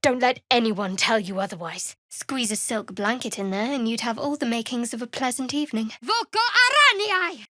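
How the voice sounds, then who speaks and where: Casting: young female cleric for fantasy RPG; guarded, cool, dry wit, slightly high voice, close dry recording. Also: animated, normal speed, fairly bright tone, no echo. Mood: composed, then casting spells. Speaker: video game character. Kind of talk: scripted fantasy game dialogue.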